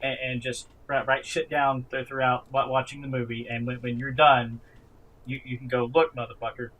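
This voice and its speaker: A man's voice: mid-range voice, flat delivery, a bit bright, muffled recording. Stammering man chatting on a podcast. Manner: halting, stammers and repeats words; sweary. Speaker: podcast co-host. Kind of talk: casual group chat about films and games.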